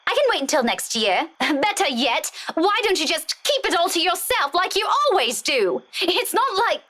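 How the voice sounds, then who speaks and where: High-voiced, exasperated young woman. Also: very animated, normal speed, sharp tone, exaggerated voice. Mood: sarcastic, irritated. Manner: biting, sarcastic, impatient. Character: exasperated, sarcastic young woman named Rebecca. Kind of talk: visual novel game voice acting.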